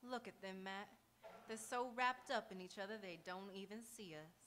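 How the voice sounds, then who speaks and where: A woman's voice: medium pitch, moderate pace, fairly bright tone, a little hiss or hum, natural voice. An actress playing a shrewd, chatty townswoman in a live radio Western, with a knowing, pointed manner. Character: shrewd, sociable frontier townswoman, the marshal's confidante. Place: auditorium stage, live radio theatre performance.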